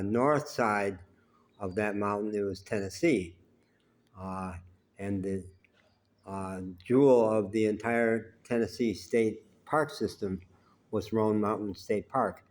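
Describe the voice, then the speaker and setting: A man telling personal stories in an unhurried, conversational way, pausing often. He has a naturally loud voice. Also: lowish voice. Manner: unhurried and conversational, with frequent "uh" pauses. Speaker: vlogger telling life stories. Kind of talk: rambling personal storytelling.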